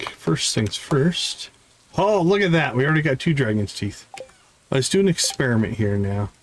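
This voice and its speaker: A man's voice: mid-range voice, slight background noise, poor audio. A man giving casual live gameplay commentary, talking viewers through what he is doing as he plays. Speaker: game streamer, host.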